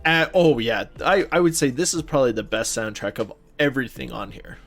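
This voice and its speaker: Man, podcast co-host, casual chat, emphatic and enthusiastic, medium pitch, faint music behind. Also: animated, brisk, vocal fry, fairly bright tone. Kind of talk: casual chat about films and games.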